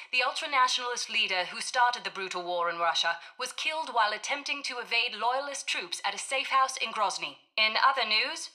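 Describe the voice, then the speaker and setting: A woman playing a formal, composed British news anchor in a video game, reading a scripted bulletin in a measured, clearly articulated way. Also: medium pitch, pushed and bright, dry recording, natural voice, rough recording.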